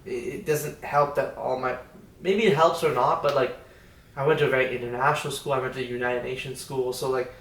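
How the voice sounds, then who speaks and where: A man's voice: medium pitch, steady tone, brisk, a bit bright. A man in his twenties from India, Australia and New York, chatting casually and slangily as he reflects, often repeating himself. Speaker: podcast guest. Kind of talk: casual chat, personal reflection. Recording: echoey room, distant from the mic.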